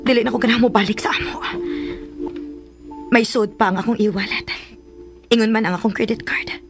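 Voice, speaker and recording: Radio drama: defiant, sulking Cebuano daughter, played by a woman, quiet and musing, clearly audible music underneath. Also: a little high, fairly even intonation, fairly quick, close to an everyday voice. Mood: sulky, defiant. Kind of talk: Philippine radio drama acting.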